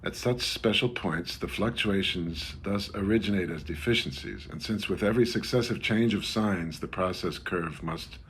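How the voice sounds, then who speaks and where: A man giving a scripted reading of a mock-scholarly literary essay. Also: sits low. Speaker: reader of a literary text. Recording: faint background noise.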